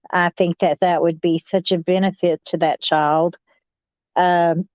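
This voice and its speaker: A woman's voice: on the low side, darkish tone, dry recording. A woman on a talk panel reading viewer comments and giving her opinions. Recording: narrow phone-like audio.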